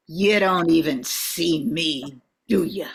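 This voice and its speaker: A woman's voice: sits low, extremely slow, creaky, heavily acted. A woman playing a cute, sassy, streetwise nymph in an amateur radio comedy, with some background noise. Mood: sassy, teasing.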